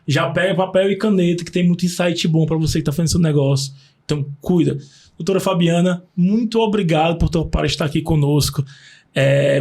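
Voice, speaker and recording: Gravelly, husky man hosting. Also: on the high side, darkish tone. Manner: jokey, upbeat, chatty with slang. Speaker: podcast host. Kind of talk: casual interview, reading sponsor plugs.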